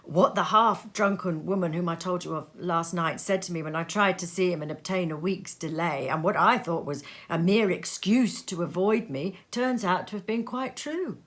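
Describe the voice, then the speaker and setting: A woman voicing a careworn, earnest young husband in a storybook reading, hesitant yet quietly relieved. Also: lowish voice, moderate pace, few pauses, close to an everyday voice.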